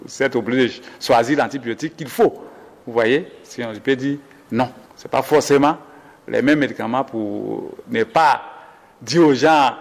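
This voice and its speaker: A man speaking as a doctor in a health interview, explaining slowly with many fillers and restarts while advising listeners. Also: mid-range voice, big-room sound.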